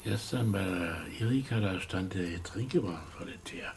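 An actor playing a folksy, rambling village man with a husky, gravelly voice and Berlin-Brandenburg accent in a radio play. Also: low-pitched, moderate pace, a little hiss or hum. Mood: grumbling. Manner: rambling, colloquial storytelling. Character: folksy rural village man mourning his favourite hen. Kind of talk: naturalistic radio play acting.

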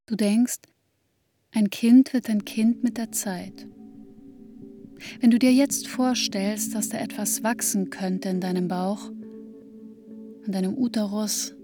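A woman playing an introspective woman longing for a child, speaking in a measured, hushed radio drama monologue. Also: mid-range voice, fairly even intonation, soft background music, lightly acted. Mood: pensive, restless. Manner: measured, hushed, addressing the listener as "you".

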